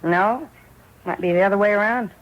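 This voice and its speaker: A woman's voice: mid-range voice, normal speed, lightly acted. A woman playing a grateful, plain-spoken ex-convict going straight, earnest and colloquial, in 1950s radio drama on a muffled old recording. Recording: muffled, faintly noisy vintage radio recording.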